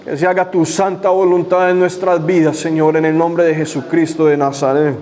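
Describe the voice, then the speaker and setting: A man's voice: fairly high pitch, flat delivery, moderate pace, darkish tone. Fervent Spanish-speaking male preacher. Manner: fervent praying, repeating "Señor" and "Padre"; conversational storytelling with restarts. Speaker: pastor. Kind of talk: sermon with opening prayer and testimony. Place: church.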